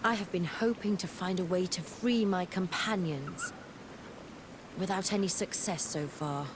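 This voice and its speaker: An actress playing a determined, wary scientist-explorer in a fantasy game, earnest and articulate. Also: female voice, fairly low pitch, measured pace, background noise, lightly acted. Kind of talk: scripted game cutscene acting.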